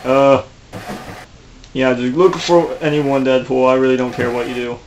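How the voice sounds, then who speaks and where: A man's voice: medium pitch, flat delivery, moderate pace, a little mellow, slight background noise, poor audio. A man who narrates his own comedy video over game footage, rambling and mocking himself, with a lot of fillers.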